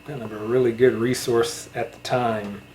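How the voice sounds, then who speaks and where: A man in a casual interview chat, reminiscing in a halting way. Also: mid-range voice, level tone, darkish tone, a little hiss or hum. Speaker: interviewer, channel host.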